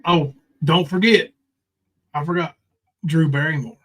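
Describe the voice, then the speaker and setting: Middle aged guy on a livestream, voice a bit high, casual and sweary chat. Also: steady tone, measured pace, a bit bright. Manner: informal, foul-mouthed. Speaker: livestream host.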